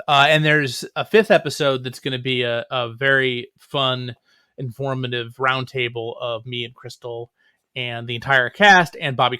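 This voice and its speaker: A man speaks as a guest in a casual interview. His tone is bright and forward, recorded very dry with no room sound. He comes across as informal and easygoing. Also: medium pitch, clean tone, no room sound. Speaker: podcast guest, writer.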